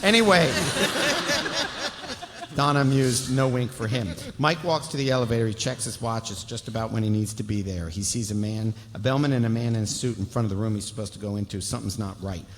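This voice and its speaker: A man narrates a TV script aloud at a live table read, slipping in wry, playful asides. His voice sits on the low side and rings with the long reverb of a big hall. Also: brisk. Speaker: stage directions reader.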